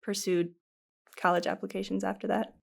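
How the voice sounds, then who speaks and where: A woman's voice: medium pitch, flat delivery, brisk, vocal fry. A young woman from western New York, a nursing student, talking through her choices in a thoughtful, chatty way. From western New York, USA. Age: young adult.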